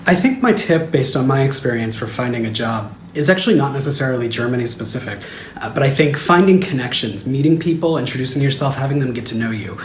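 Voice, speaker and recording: An American man telling his career story in a lively, upbeat way. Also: medium pitch, fast talker, a little hiss or hum. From United States. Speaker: product manager. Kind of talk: casual testimonial. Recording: echoey room, faint ambience.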